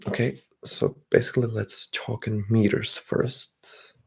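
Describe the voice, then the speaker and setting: A young man, chatting softly and often whispering on an ASMR livestream while reading out comments. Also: on the low side, fairly even intonation, measured pace, gravelly, husky. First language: Bulgarian. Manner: soft-spoken, drifting into whispers. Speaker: ASMR streamer.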